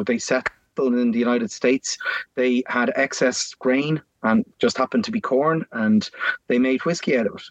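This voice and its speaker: A man's voice: mid-range voice, no echo, muffled recording. A man with an Irish accent, a guest in casual conversation, telling history at length in a rambling, explanatory way with many fillers. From Ireland. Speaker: guest, whiskey maker.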